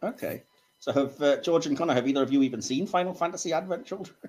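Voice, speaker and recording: A man with a husky voice hosting a casual podcast chat about films. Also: on the high side, quick pace, a little mellow. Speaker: podcast host. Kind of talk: casual film ranking chat.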